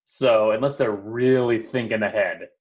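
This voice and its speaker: A man's voice: fairly low pitch, a little slow. A man talking casually as a panelist on a podcast discussion. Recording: slightly dull lo-fi audio.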